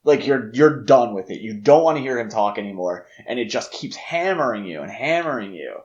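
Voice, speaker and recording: A man's voice: medium pitch, lively intonation, brisk, dull audio. A man co-hosting a podcast, discussing films casually in an emphatic, opinionated way. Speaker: podcast co-host.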